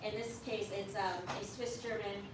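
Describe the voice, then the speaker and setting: A woman speaking loudly without a mic, casually telling an audience about partner events. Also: mid-range voice, steady tone, normal speed. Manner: loud projected voice without a mic. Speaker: organization leader describing partner events. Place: hotel banquet room at a luncheon. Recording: echoey room, speaker far from mic, noisy.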